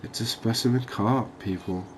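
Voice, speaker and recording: A man giving relaxed, unhurried, musing ASMR-style gameplay commentary, chatting to his viewers. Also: lowish voice, a little hiss or hum. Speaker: host of a cozy fishing game channel.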